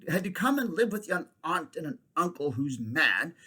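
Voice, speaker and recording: A man's voice: fairly high pitch, very animated, normal speed, a bit bright, breathy edge, voice pushed up, exaggerated voice. A man voicing a worked-up young boy. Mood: miserable. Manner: tumbling, breathless restarts. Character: miserable grubby young boy, excitable.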